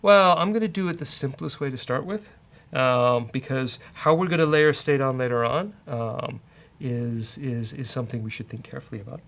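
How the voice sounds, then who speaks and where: A man's voice: mid-range voice, normal speed, vocal fry, a bit bright. A man co-hosting a coding show, talking casually and hesitantly as he thinks aloud while working. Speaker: co-host coding on a software show.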